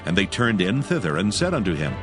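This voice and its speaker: A man's voice: deep voice, sing-song, a little fast, music in the background. A man giving solemn, storytelling narration of dramatized scripture.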